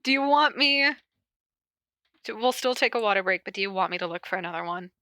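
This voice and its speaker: A woman with a bright, forward voice and a close, dry sound. She talks informally as a podcast host, moving between casual story reading and chat, with a giggly, self-interrupting manner. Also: medium pitch, lively intonation, brisk, no echo. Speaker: podcast host reading fan fiction aloud.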